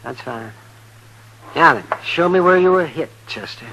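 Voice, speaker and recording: Dry, crusty frontier doctor. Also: male voice, on the high side, lively intonation, a little slow, gravelly, bright, forceful tone, dull audio, full character voice. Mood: dry, probing. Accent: American. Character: crusty, matter-of-fact frontier town doctor. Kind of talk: 1950s radio western acting. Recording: old radio recording, faint hum and hiss.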